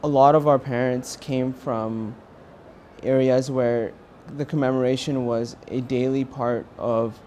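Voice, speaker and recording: Young American man, mid-pitched voice, halting earnest testimony, light ambient noise behind. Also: fairly even intonation, dark tone. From California, United States. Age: young adult. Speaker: university student interviewed as a pilgrim. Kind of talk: personal testimony to camera.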